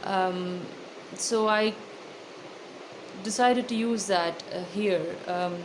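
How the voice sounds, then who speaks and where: A woman with an Indian accent giving thoughtful, articulate interview answers as an author, in a measured way with hesitant pauses. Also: mid-range voice. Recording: loud background noise.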